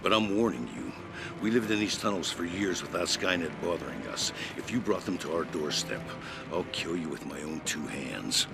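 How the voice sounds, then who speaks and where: A gruff, low-voiced survivor leader. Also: male voice, lively intonation, a little fast, music in the background. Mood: stern warning. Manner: gruff, menacing, suspicious. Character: wary, threatening male leader of tunnel survivors. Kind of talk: video game cutscene acting.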